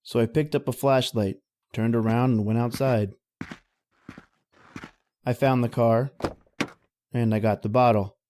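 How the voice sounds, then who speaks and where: An easygoing oil rig roughneck acted by a man who talks plainly and colloquially. He tells his tale in a folksy way, in the style of old-time radio horror, at a middling male pitch. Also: fairly even intonation, a little slow, long pauses, dark tone, lightly acted. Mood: storytelling.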